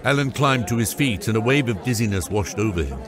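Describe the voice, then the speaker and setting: A man's voice: fairly low pitch, animated, fairly quick, crowd noise. A man reading scripted narration for a fantasy audiobook. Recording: loud music under the voice. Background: music.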